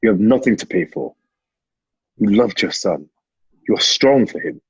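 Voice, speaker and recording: A man acting a stoic, terse Roman general turned gladiator, gruff but tender, in an amateur radio drama on a muffled mic. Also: lowish voice, expressive, moderate pace, no echo, heavily acted. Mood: grave, restrained.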